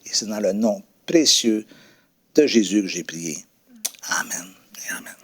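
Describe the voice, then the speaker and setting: A French-speaking man sharing a personal faith testimony as a guest pastor, pausing often with fillers and restarts, then leading a prayer. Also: on the low side, animated, breathy edge. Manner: hesitant, many fillers and restarts.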